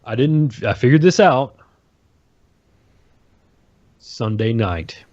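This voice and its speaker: A man co-hosting a podcast in casual chat, laid-back and quick to laugh. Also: mid-range voice, big pitch swings, a little mellow, no room sound, highs cut off. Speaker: podcast co-host.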